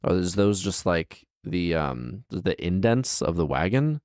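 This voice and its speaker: A man's voice: sits low, big pitch swings, measured pace, a little mellow, no echo, clean studio sound. A man hosting a story podcast, giving casual comedic commentary in an incredulous, wry, slangy way with emphatic repeats. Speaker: podcast host reading and riffing on a fairy tale.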